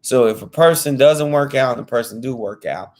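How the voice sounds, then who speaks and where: A man's voice: mid-range voice, fairly quick, a little mellow. A man in his thirties talking casually to camera as a fitness vlogger, earnest and repetitive, restating his points in informal slang. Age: 30s.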